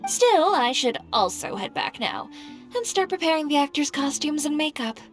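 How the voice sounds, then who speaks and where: A blunt, level-headed female designer in a game cutscene. Her somewhat high voice is dry and unimpressed, with clear music underneath. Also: big pitch swings, normal speed. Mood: cool, wry. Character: blunt, cool, practical female costume designer.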